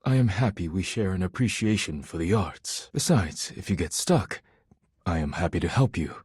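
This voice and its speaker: A deep, husky, solemn poet. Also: male voice, expressive, darkish tone, no room sound, clean studio sound, full character voice. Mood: formal, encouraging. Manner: formal, measured, solemn, avoids contractions. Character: formal, earnest, proud male poetry-loving dish character. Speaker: voice actor in a dating simulator game. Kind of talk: scripted video game character dialogue.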